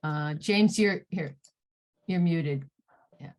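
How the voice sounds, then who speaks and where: A woman moderating an online panel who is losing her voice, halting and clearing her throat as she speaks. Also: low voice, darkish tone.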